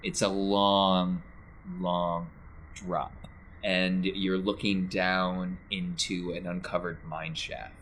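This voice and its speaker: A man's voice: low-pitched, flat delivery, slow, a bit bright. A man acting as game master, narrating and refereeing a tabletop role-playing game with a theatrical touch. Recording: faint background noise.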